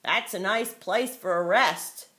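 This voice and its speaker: A woman's voice: mid-range voice, slow, sharp tone. A grandmother voicing a male street peddler who calls out his wares and scolds in a raised voice. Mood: mild. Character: loud male cap peddler, hawking then angry.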